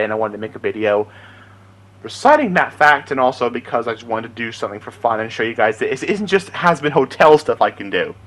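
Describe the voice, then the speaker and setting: A man's voice: mid-range voice, fairly bright tone. A man chatting casually and informally to viewers as an amateur impressionist. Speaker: amateur voice impressionist, video creator.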